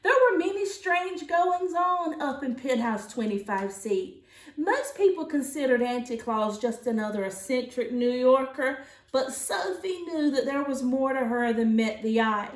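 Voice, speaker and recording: A woman teacher reading a children's storybook aloud, with expressive, deliberate phrasing in an echoey room. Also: sits high.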